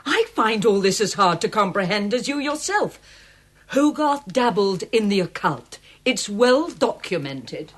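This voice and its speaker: A woman's voice: mid-range voice, sing-song, a little slow. An actress playing an earnest gallery woman in a radio play, insistent and pleading. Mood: troubled. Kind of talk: radio drama acting.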